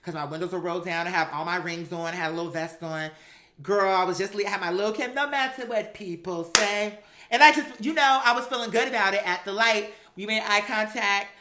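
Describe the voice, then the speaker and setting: Sassy, bright-voiced woman storyteller in an echoey room. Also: medium pitch, a little fast, slight background noise. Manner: sassy, emphatic, acts out quoted dialogue; profane, slangy. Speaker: storyteller recounting a personal experience. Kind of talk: animated personal storytelling.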